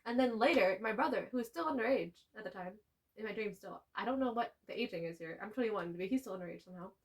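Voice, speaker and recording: A young woman co-hosting a podcast, telling stories in animated casual chat. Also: mid-range voice, fast talker. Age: young adult. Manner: animated storytelling with frequent laughs. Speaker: podcast co-host.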